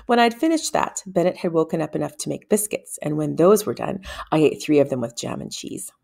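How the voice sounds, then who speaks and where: Woman reading a storybook, lowish voice. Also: animated, fairly quick, vocal fry, darkish tone. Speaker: read-aloud narrator. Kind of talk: expressive storybook read-aloud.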